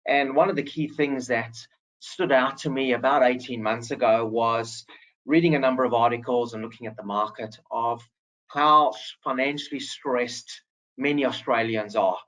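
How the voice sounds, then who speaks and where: A man's voice: mid-range voice, fairly even intonation, moderate pace, a bit bright, low-quality recording. A man speaking as a startup founder on a webinar panel, upbeat and fluent with some restarts.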